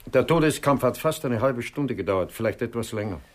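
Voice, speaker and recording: An actor playing a sober police doctor with a measured, clinical manner in a 1960s German radio crime drama. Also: male voice, mid-range voice, animated, natural voice.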